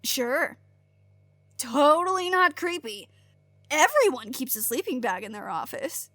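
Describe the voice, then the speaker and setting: A woman's voice: high voice, sing-song, unhurried, sharp tone, no echo, exaggerated voice. A woman playing a brash, chatty, sarcastic agent with a blunt way of talking in an audio drama. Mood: sarcastic, needling. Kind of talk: naturalistic audio drama dialogue.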